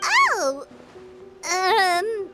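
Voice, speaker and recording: A woman voicing a tiny, excitable fairy girl companion in a video game, with a squeaky, childlike voice and a blunt, exclamatory manner. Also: drawn-out words, soft background music, heavily acted. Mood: casual, dismissive.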